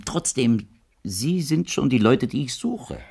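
An actor playing a gentle, insistent old blind man who speaks in a flowery, poetic, persuasive way in a German radio play. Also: medium pitch, animated, a little slow, gravelly, slightly rough, exaggerated voice. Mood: urgent, pleading. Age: older.

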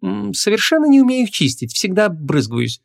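A man voicing a lively, curious young woman in an audiobook, talking in a colloquial, impatient way. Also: high-pitched, brisk, voice pushed up. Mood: confiding, bossy.

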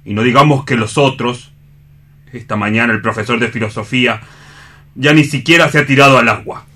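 An actor playing an earnest, disappointed assistant in a radio drama, deferential and comically solemn. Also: male voice, medium pitch, fairly quick, bright, forceful tone. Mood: disillusioned. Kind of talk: dramatic staged radio reading.

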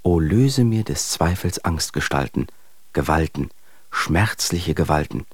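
Visionary poet for radio drama: deep, rough-edged, incantatory, tormented, bone-dry studio sound. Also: male voice, expressive, a little slow, no room sound, heavily acted. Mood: anguished. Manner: incantatory, broken phrases. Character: anguished poet voice declaiming dark visions in verse. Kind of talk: declaimed expressionistic verse in a radio play.

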